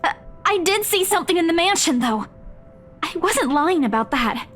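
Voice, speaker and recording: A woman's voice: extremely high pitch, sing-song, moderate pace, fairly bright tone, faint music behind, full character voice. A woman playing an anxious, caring teenage girl in a visual novel, speaking in an earnest, hesitant way. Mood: apologetic, hesitant. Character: anxious, caring teenage girl calling after her friend.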